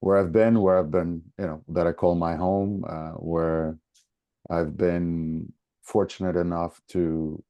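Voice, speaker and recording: A man from Portugal with a Portuguese accent, talking casually as a guest in a hesitant, halting way. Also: deep voice, flat delivery, no room sound, muffled recording. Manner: hesitant, halting, repeating words. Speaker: podcast guest, soccer coach. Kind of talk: casual conversation.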